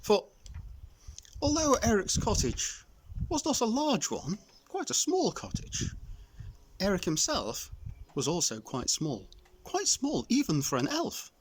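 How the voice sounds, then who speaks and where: Casting: male storyteller for young children, expressive and deliberate, widely varied intonation. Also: high-pitched, husky. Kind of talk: scripted children's story narration.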